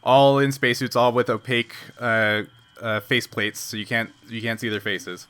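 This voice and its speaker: Male game master, bright tone, unscripted tabletop narration with a thinking-aloud delivery. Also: medium pitch, level tone, normal speed, clean tone.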